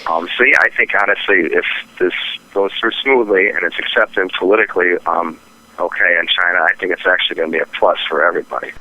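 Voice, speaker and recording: Man, deep, intensely bright and tense, market commentary, hesitant, repetitive, muffled telephone audio. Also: steady tone, fast. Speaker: trading firm president, interview guest. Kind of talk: phone interview market commentary. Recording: dull phone line.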